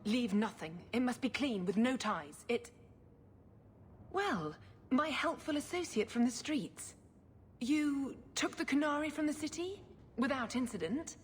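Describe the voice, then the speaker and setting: An actress playing a zealous, scheming religious sister in a video game, poised, formal and condescending. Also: female voice, sits high, lively intonation, measured pace, slight background noise. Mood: haughty. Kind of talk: video game cutscene acting. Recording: long hall reverb, music behind.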